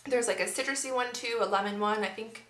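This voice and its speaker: A woman's voice: a little high, fast, a bit bright, roomy sound. A woman in her twenties chatting casually and upbeat about her favorite beauty products, with lots of fillers. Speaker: beauty vlogger. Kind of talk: casual monthly favorites review.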